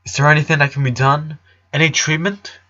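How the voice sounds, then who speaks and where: An earnest father read by a male narrator speaks with gentle insistence in a story. He is composed but sorrowful, with a bright voice on a slightly dull recording. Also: fairly high pitch, level tone, fairly quick, voice pushed up. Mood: earnest concern. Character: composed, devoted father holding back grief.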